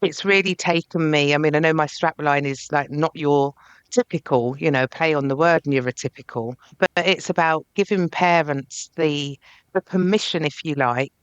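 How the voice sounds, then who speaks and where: A woman's voice: deep voice, normal speed, clean tone, no echo, rough recording. A woman therapist speaking earnestly and reflectively as a podcast guest. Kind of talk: podcast interview.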